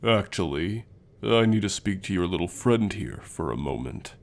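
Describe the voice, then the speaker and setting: A man's voice: low-pitched, very animated, normal speed, vocal fry, heavily acted. A man playing a stern, secretive academy professor with a formal, authoritative manner in an audio roleplay. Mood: stern, commanding.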